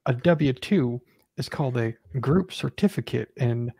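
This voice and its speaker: A woman chats casually on a panel. Her voice is husky with heavy vocal fry, and the sound is very dry. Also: extremely low pitch, lively intonation, a little slow, mellow, dry recording. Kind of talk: casual chat.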